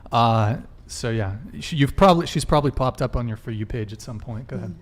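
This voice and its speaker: A man's voice: mid-range voice, lively intonation, a little fast. A man hosting a live talk podcast, casually addressing his viewers. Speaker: podcast host.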